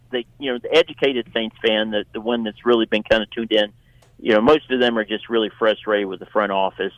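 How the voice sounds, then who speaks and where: Halting male sports analyst, lowish dry voice. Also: dry recording, dull audio. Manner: halting, repeats and restarts phrases. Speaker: sports editor, radio show guest.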